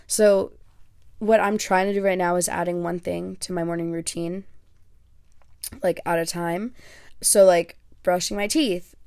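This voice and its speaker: Young podcast host lady, first language greek, gravelly voice, dry close sound, rambly and chatty. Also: fairly low pitch, darkish tone, dry recording. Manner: chatty, self-deprecating, lots of fillers. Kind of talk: casual solo podcast talk.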